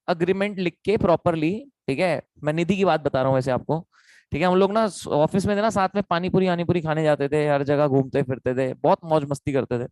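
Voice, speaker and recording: Young Indian male tutor, hoarse throat, chatty and repetitive, dry close recording. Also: high-pitched, no echo. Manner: chatty, repetitive, calls students beta. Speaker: online law tutor. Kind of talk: live online lecture.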